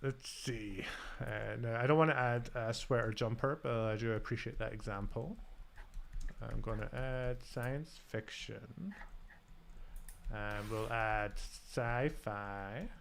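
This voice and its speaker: A man's voice: mid-range voice, fairly even intonation, slow pace, creaky, a little hiss or hum. A man with a light Scottish accent hosting a live-coding stream, talking through his steps and joking about himself as he types. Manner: self-deprecating, thinks aloud while typing. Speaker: host. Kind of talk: casual live-coding chat.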